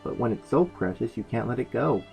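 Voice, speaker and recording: A man's voice: mid-range voice, lively intonation, brisk, creaky, mellow. Male reviewer with background music. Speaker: host of a fan fiction review show. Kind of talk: scripted review narration with casual comic asides. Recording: music behind the voice.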